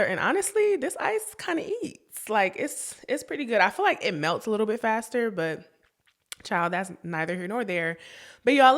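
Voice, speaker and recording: A young woman hosting her own podcast in a chatty, casual way, often laughing and talking straight to her listeners while sniffling from a cold. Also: medium pitch. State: sniffly, getting over a cold. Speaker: podcast host. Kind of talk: casual chat.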